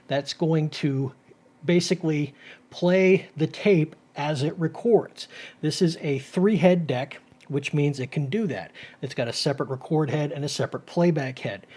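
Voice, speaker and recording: A middle-aged man with a voice pitched a little above average. He presents in a casual, explanatory hobby-video style. His delivery is informal and a bit rambling as he walks through each step. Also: darkish tone. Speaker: host of a retro tech video series. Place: small home studio, indoors.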